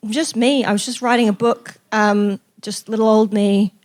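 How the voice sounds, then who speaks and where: A woman telling an anecdote to an audience in a casual, animated, self-deprecating way. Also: mid-range voice, normal speed. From raised in Australia. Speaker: guest journalist and author.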